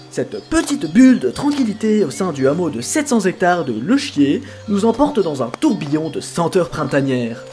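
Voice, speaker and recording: A man's voice: fairly high pitch, a little mellow. A man playing a polite, pompous travel reporter who is easily flustered, in a comedy sketch. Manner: flowery, courteous, stammering when flustered. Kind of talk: parody travel reporting over music.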